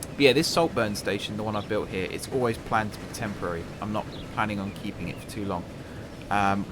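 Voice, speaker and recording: A man hosting a gaming channel. He chats casually over his gameplay and thinks aloud with self-deprecating humour, and his voice is croaky from a long day of talking. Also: lowish voice, background noise. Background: background music.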